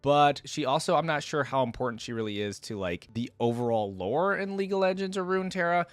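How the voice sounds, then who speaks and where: A man's voice: fairly high pitch, expressive, a little fast, clear, resonant tone, no echo, faint music behind. A man hosting a reaction video, giving casual, informal commentary close to the microphone. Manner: chatty, informal.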